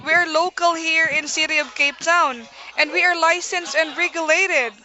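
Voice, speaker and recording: A woman's voice: very high-pitched, steady tone, normal speed, bright, forceful tone, a little hiss or hum. A woman working as a sales agent, speaking on a recorded cold call. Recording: dull lo-fi phone call recording.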